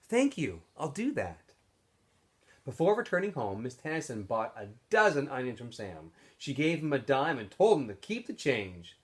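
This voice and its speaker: A man reading a story aloud like a teacher to students, in expressive narration. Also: mid-range voice, normal speed, few pauses.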